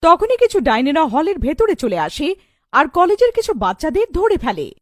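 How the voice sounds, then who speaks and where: A woman's voice: sits high, big pitch swings, moderate pace, no echo, clean studio sound. A woman narrating a scripted cartoon story as its storyteller.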